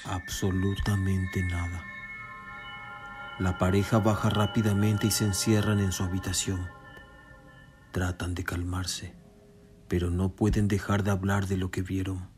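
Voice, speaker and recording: Very deep, even-toned male horror narrator. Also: unhurried, long pauses. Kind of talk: dramatic scripted horror radio narration. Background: prominent music.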